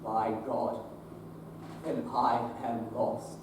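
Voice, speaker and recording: A man's voice: medium pitch, flat delivery, drawn-out words. A young man acting a bitter, despairing Victorian showman with a bleak, self-pitying manner. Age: young adult. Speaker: stage actor. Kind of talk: live stage play acting. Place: theatre stage. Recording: echoey stage recording from far off, noisy.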